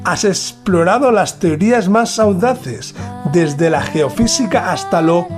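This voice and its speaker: A man from narrates a scripted mystery documentary in a solemn, dramatic manner with deliberate pauses. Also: high voice, lively intonation, music in the background. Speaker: podcast host narrator.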